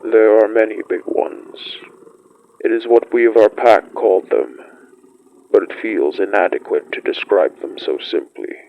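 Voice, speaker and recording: Casting: male actor for primeval wolf elder, horror fiction podcast. Grave, poetic, slow delivery with a heavy creaky rasp. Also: lowish voice, animated, highs cut off. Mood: ominous, awed. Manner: solemn, measured, poetic. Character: ancient great wolf-like beast, solemn pack elder, recalling primeval winters. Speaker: storyteller. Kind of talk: scripted dramatic monologue, horror fiction podcast.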